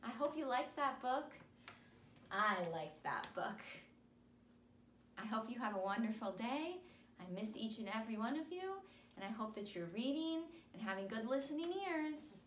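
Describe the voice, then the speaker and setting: A woman's voice: fairly high pitch, animated, moderate pace, a bit bright. A young woman working as a school speech therapist reads a picture book aloud to children in simple, emphatic, repeated phrases. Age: young adult. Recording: echoey room with noticeable hiss.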